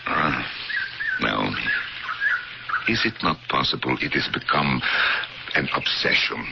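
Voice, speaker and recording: A man acting in an old-time radio drama as a gentle, cautious older professor, with a measured, pedantic, coaxing way of talking. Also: a bass voice, animated, creaky, full character voice. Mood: gently doubting. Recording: noisy, dull old radio broadcast recording.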